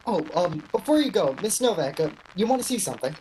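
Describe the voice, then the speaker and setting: Woman acting, awkward lovestruck male shop clerk, low pitch, halting understated radio drama delivery, faint background noise. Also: very animated, warm tone, poor audio. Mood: bashful, awkward. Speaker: amateur actor.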